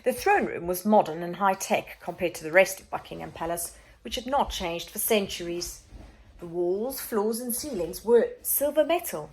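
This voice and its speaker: A woman reading a children's storybook aloud, expressive, dramatic and emphatic in her narration. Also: mid-range voice, normal speed, slightly rough, rough recording.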